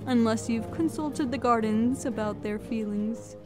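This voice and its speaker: Woman acting a regal, high-voiced pony queen. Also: measured pace, over music, lightly acted. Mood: cool, dry. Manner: composed, formal, dryly pointed. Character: regal pony queen, poised and quietly cutting. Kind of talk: fan audio drama acting.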